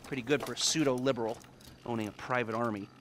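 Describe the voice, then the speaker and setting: An actor playing an anxious, scheming middle-aged crime planner in a video game cutscene, speaking haltingly and with emphasis. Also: male voice, medium pitch, steady tone, slight background noise, natural voice.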